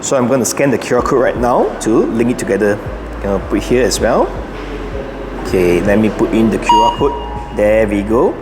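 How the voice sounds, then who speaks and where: A man's voice: lowish voice, animated, normal speed. Man giving casual tutorial over music. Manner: talks himself through each step in short instructions. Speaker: host of a car channel giving a setup guide. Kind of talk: casual unscripted step-by-step tutorial.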